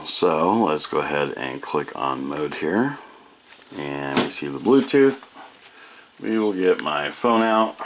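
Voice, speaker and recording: A very low-pitched American man walks through a product demo in a casual, matter-of-fact voice. His pace is slow and unhurried as he explains each feature. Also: a little hiss or hum. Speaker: product reviewer.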